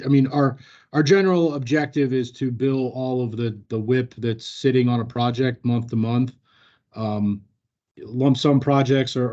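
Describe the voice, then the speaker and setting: Male training presenter with a mellow, mid-range voice, explaining procedure informally and somewhat haltingly. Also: medium pitch, dull audio.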